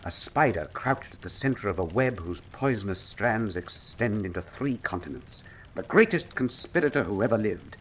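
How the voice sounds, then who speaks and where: An actor playing a brilliant, self-assured Victorian detective in a radio mystery, with a wry, precise, formal manner. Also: male voice, lowish voice, a little hiss or hum, natural voice. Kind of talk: full-cast radio mystery drama acting.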